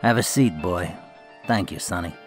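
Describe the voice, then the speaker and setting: A man's voice: low-pitched, normal speed, faint music behind, close to an everyday voice. A man playing a composed, menacing mob boss in a radio drama, talking with cool condescension. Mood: coolly cordial. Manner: condescending, coolly controlled.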